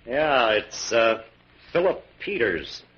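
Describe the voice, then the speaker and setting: An actor playing a determined, inquisitive investigator in a 1940s radio drama. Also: male voice, mid-range voice, sing-song, a bit bright, slightly rough, full character voice. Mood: intrigued. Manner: brisk, insistent questioning. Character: determined, inquisitive male investigator, suspicious and firm. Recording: dull, hissy old radio recording.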